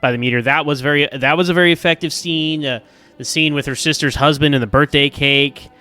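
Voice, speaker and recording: Male listener call-in, forceful bright tone and clean delivery, conversational and rambling. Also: on the high side, steady tone, brisk. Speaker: listener sending in voice feedback. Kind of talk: casual spoken review.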